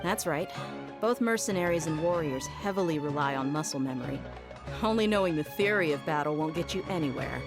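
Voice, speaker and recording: A woman's voice: deep voice, expressive, brisk, over music. A woman playing a tough, earnest mercenary warrior in a video game, direct and matter-of-fact. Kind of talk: video game character acting.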